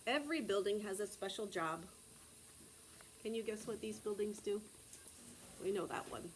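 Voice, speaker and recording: A woman's voice: medium pitch, moderate pace, a little hiss or hum, rough recording. An American woman, a preschool teacher from Texas, reads aloud to young children in simple phrases, stopping to explain and ask questions. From Texas, United States.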